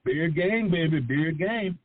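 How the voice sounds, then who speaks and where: A man's voice: fairly high pitch, animated, takes it slowly. A middle-aged man chatting casually as a talk show panelist, playful and slangy, repeating phrases for emphasis. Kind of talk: casual chat. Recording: muffled, narrow phone-like audio.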